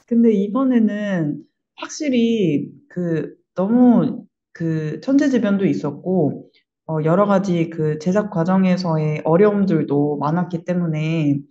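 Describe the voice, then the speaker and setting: A woman on an art production team speaking reflectively in an interview, thoughtfully pausing mid-phrase to find her words. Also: lowish voice, warm tone, muffled recording.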